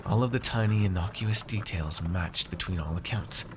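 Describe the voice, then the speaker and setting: A man's voice: low-pitched, moderate pace, noisy background, natural voice. A man playing a haughty, teasing, possessive noble master in an ASMR roleplay, with formal, drawling, condescending theatrical diction. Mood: menacing, teasing.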